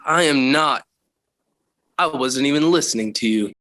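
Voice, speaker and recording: Fifteen-year-old son, 1940s radio sitcom. Indignant, pleading, slangy teen delivery. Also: male voice, mid-range voice, unhurried, sharp tone. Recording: vintage 1940s radio broadcast.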